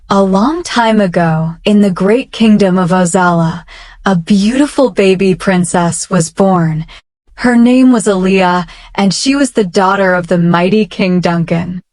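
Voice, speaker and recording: A woman storyteller reading a scripted children's bedtime tale with measured, deliberate dramatic phrasing. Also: mid-range voice, a little mellow, dry recording.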